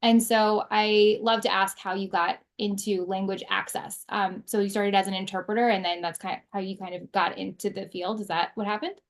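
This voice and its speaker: A woman's voice: mid-range voice, level tone, brisk, few pauses. A woman speaking as the host of a conversational interview.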